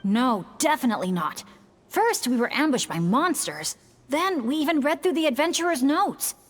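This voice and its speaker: A woman's voice: high voice, big pitch swings, moderate pace, a little hiss or hum. An actress voicing a cheerful, eager woman. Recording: game scene with faint music.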